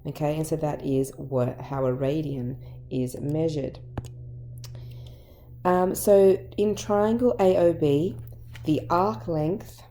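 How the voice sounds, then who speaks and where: Croaky low-voiced Australian teacher woman. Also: slow pace, warm tone, a little hiss or hum. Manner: patient, step-by-step explaining. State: croaky voice from illness. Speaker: maths teacher. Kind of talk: recorded maths lesson.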